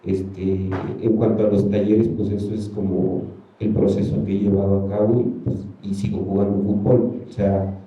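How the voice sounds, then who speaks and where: A man's voice: lowish voice, level tone, moderate pace, faint music behind. A man with a Mexican Spanish accent whose first language is Ayuuk, speaking as an artist on a panel in a loose, colloquial, rambling way with many fillers. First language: Ayuuk (Mixe). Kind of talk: casual panel talk. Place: museum talk hall. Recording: roomy, distant, dull sound.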